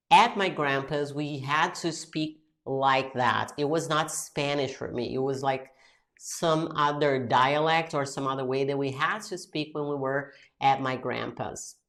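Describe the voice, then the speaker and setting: Low-voiced Brazilian woman podcast host. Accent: Brazilian Portuguese. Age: 40s. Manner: chatty, mixing Portuguese and English. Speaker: podcast host and English teacher. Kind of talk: casual solo podcast talk.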